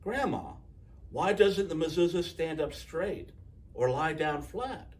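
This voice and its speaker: A man's voice: a little high, animated, takes it slowly. A man voicing an eager, curious young girl in a storybook, asking things in an expectant way. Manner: expectant, inquisitive.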